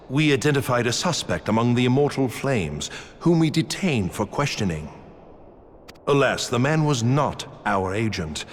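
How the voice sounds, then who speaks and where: An actor playing a composed, shrewd military intelligence commander in a fantasy game, speaking in formal, measured, archaic phrases with grave courtesy. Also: male voice, lowish voice, a little hiss or hum. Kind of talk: dramatic fantasy game voice acting. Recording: long reverb under clear music.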